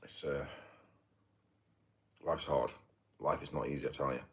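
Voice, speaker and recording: A British man with a deep voice, chatting casually on a livestream in a halting, mumbly way full of fillers. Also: steady tone, husky. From United Kingdom. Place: indoors, getting ready to go out.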